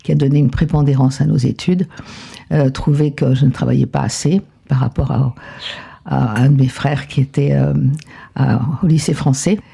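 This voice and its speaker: An older woman from Argentina, a guest in a relaxed interview, telling her life story in an unhurried, reflective way. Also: extremely low pitch, vocal fry, slightly rough.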